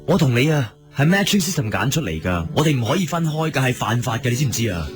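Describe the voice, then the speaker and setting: A man's voice: mid-range voice, big pitch swings, quick pace, over music, close to an everyday voice. A man with a Hong Kong Cantonese accent playing a frustrated, insecure scientist husband in a radio drama, agitated and anguished. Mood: hurt, insistent.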